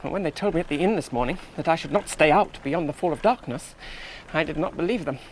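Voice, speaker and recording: An actor playing an earnest, nervous young British army officer in a radio play, musing precisely and reassuring himself. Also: male voice, fairly high pitch, quick pace, slight background noise, close to an everyday voice, poor audio. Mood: uneasy musing. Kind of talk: 1970s radio play monologue.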